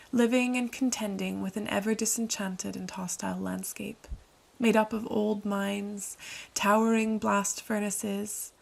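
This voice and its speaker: A woman hosts a podcast with a scripted introduction. Her voice sits at a middling pitch with pronounced creak. She reads in a measured, composed way. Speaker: podcast host and radio-play co-writer.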